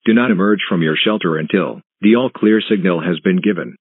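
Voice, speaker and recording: A man reading a scripted emergency broadcast announcement in a flat, formal, synthetic manner. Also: deep voice, brisk, no echo. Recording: tinny phone-like band, muffled husky sound.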